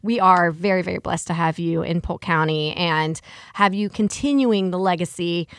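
A woman's voice: on the low side, moderate pace, dry recording, clean studio sound. A woman hosting a podcast interview in a chatty way, laughing easily. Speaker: podcast host.

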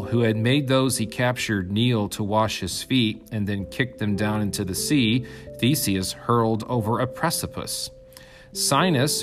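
A man reading an ancient myth aloud as scripted storytelling narration. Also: lowish voice.

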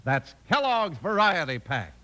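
A man reading a 1950s radio advertisement as a folksy cowboy-style sales pitch. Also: big pitch swings, unhurried, over loud music. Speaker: commercial announcer.

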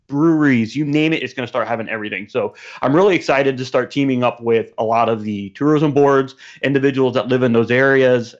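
Man on a dull, lo-fi call. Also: mid-range voice. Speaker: podcast guest, show presenter. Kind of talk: casual chat. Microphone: remote call.

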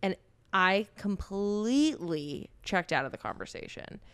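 Gravelly, playful woman telling a story. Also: mid-range voice, unhurried, no room sound. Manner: playful, self-mocking, lively storytelling. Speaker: podcast co-host. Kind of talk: casual storytelling.

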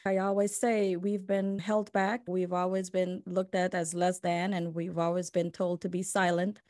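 A woman's voice: on the low side, level tone, normal speed, darkish tone, dry recording, studio quality. A woman hosting a podcast interview, upbeat and encouraging with her guest. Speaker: podcast host.

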